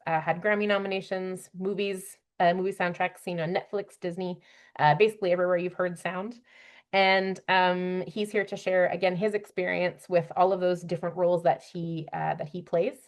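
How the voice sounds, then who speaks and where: A woman moderating a panel discussion as its host, introducing and questioning the guests. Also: fairly low pitch.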